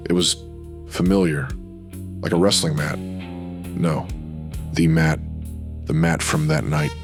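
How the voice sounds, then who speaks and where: A man's voice: very deep voice, fairly even intonation, slow, music in the background. A man narrating scripted supernatural noir in brooding, clipped dramatic phrases.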